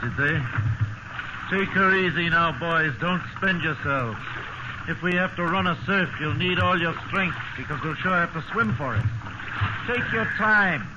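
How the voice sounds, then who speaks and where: An actor playing a steady, fatherly sea captain in a 1950s radio drama, calm and commanding. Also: a little high, measured pace, lightly acted. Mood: steady, encouraging. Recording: muffled, very noisy old radio recording.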